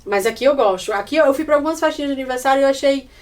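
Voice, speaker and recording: A Brazilian woman in her thirties, a guest in a relaxed chat, talking animatedly and acting out little quotes. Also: high voice, racing. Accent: Brazilian Portuguese. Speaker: podcast guest. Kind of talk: casual chat. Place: indoors in Ireland. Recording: voice a little distant from the mic.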